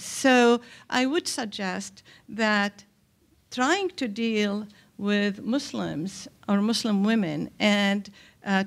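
An older woman from Lebanon speaks as a panelist at a podium microphone, lecturing in a deliberate, emphatic way with pauses mid-thought. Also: on the high side. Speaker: panelist, scholar and activist. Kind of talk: public lecture at a symposium. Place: indoor hall, speaking from a podium. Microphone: microphone on a stand.

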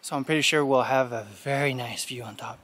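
A man's voice: medium pitch, a little fast, fairly bright tone, a little hiss or hum. A man talking to the camera while he climbs a steep mountain trail outdoors, cheerful and chatty with lots of fillers. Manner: cheerful, chatty, many fillers like "you know" and "uh". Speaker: hiking vlogger. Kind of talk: casual talk to camera while hiking.

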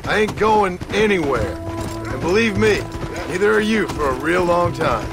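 An actor with an American accent playing a stern, gruff cowboy father in a western video game. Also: high-pitched, very animated, measured pace, heavily acted. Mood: scolding. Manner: gruff, scolding. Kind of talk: dramatic video game acting. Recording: game audio with background music.

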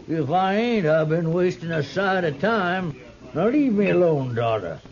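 Gravelly, cantankerous old Southern hillbilly man. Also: high voice, animated, measured pace, noisy background. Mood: rowdy. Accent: American Southern hillbilly. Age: older. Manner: cantankerous, folksy. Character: stubborn hard-drinking old Kentucky horse rancher. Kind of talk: 1950s radio western acting. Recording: muffled 1950s radio recording.

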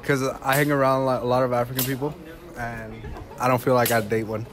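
A man's voice: medium pitch, little pitch movement, fast, music in the background. A teenage boy answering questions on campus, joking and dodging. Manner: evasive, joking. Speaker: interviewee. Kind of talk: casual chat. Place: outdoor school campus. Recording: crowd noise behind. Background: students chatting.

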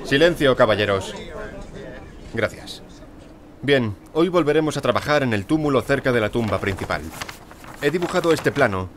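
A male actor playing a self-assured, courteous gentleman archaeologist in an audio drama, formal and precise. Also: medium pitch, background noise, close to an everyday voice. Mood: businesslike. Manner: formal, polite, unruffled, precise. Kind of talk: audio drama acting and first-person narration. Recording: music behind the voice.

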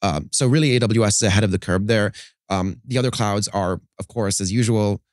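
Man in his thirties, lowish voice, dry close studio mic, fluent explaining guest, slightly worn voice. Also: fairly quick, no room sound. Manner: fluent, explanatory, frequent "right?" tags. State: slightly strained voice from a busy conference. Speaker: startup co-founder, guest. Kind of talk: business interview. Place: conference podcast studio set, indoors. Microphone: studio mic on boom arm, wearing headphones.

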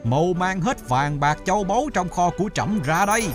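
Imperious, animated greedy emperor. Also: male voice, high voice, normal speed, music in the background. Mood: greedy curiosity. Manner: imperious, exclamatory. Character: greedy, curious, imperious emperor of a folktale kingdom. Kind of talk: animated folktale voice acting.

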